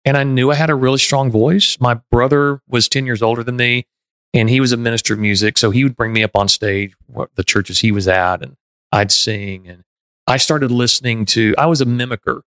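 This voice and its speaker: Middle-aged man, Texan podcast host, earnest, reflective, mid pitch, dry studio sound. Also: brisk, a bit bright, clear, resonant tone, no echo. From Texas. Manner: earnest, self-reflective, frequent restarts. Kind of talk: casual conversation.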